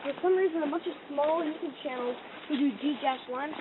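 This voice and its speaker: A woman with a high voice chats casually as she walks a wooded trail with friends. The sound is thin and phone-like, with clear outdoor noise all around. She talks in a loose, easy way. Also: brisk.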